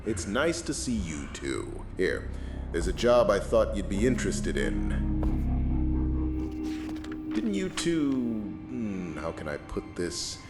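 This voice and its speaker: A man's voice: lowish voice, sing-song, takes it slowly, long pauses. A man's AI voice playing a smarmy, slippery middle-aged fixer who talks in an oily, falsely friendly, needling way. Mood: sly. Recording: roomy sound with loud music behind. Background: loud background music.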